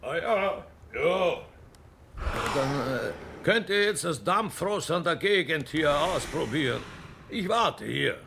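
A man playing a genteel, condescending but kindly old emperor with an Austrian accent and a gravelly voice in a radio play. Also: on the high side, lively intonation, slow pace, background noise, pitched above the performer's own voice, full character voice. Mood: kindly, musing. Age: older. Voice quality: gravelly, husky. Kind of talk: radio play character acting.

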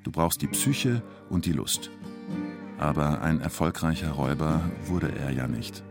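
An actor as the narrator of a radio play about a robber, speaking in terse, deliberate, clipped phrases. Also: male voice, extremely low pitch, gravelly, loud music behind the voice, heavily acted. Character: male narrator telling a bank robber's story tersely. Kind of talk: radio play narration, short present-tense sentences.

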